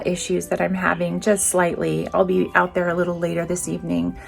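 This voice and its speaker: A woman's voice: on the low side, creaky. A woman hosting a gardening vlog, chatting casually and musing as she shows her garden. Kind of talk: casual garden tour chat.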